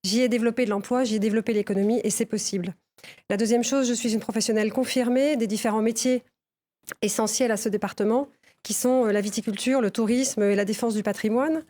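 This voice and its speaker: A woman's voice: a little high, steady tone, fast, mellow. A woman election candidate giving her opening statement in a debate, earnest, with some hesitations.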